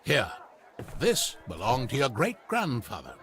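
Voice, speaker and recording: An actor playing a genteel, persuasive professor in a video game, speaking formally and insistently. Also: male voice, medium pitch, huge pitch swings, a little slow, crowd noise. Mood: pointed. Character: genteel, persuasive male professor leading an agency mission.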